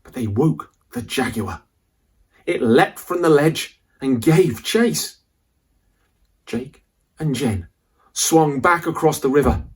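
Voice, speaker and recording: A man reading a children's adventure story aloud as a dramatic, suspenseful narrator with deliberate delivery. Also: mid-range voice, husky.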